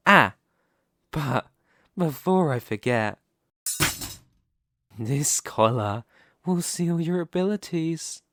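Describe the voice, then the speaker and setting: Guy acting as a smug demon king villain, asmr roleplay, really slow n teasing, dry close mic. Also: fairly high pitch, expressive, fairly bright tone, no echo. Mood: calm, possessive. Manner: slow, deliberate, teasing, condescending. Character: smug, seductive male demon king, patient and possessive. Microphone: close studio microphone, dry.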